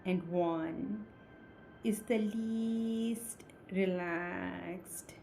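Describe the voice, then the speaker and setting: Woman, hypnotist, extremely slow pacing, soft dark tone, soothing short phrases, faint background noise. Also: mid-range voice, fairly even intonation, vocal fry. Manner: soothing, deliberate short phrases with long pauses. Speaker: hypnotist, guided meditation guide. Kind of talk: slow guided meditation, hypnosis induction.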